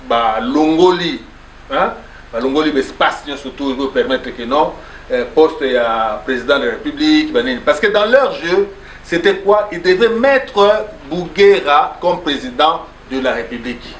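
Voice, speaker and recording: A man's voice: fairly high pitch, a bit bright. A man arguing insistently in a debate, mixing French and Lingala, often cutting in with repeated "no, no". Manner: insistent, interrupts with repeated "non, non". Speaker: pastor in a debate. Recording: echoey room with faint background noise.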